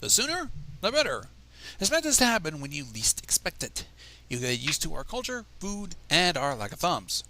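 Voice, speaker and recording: A man's voice: a little high, big pitch swings, moderate pace, noisy background, pitched above the performer's own voice. A man voicing a kindly female pony mentor who speaks earnestly and reassuringly in a story reading. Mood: earnest, persuasive.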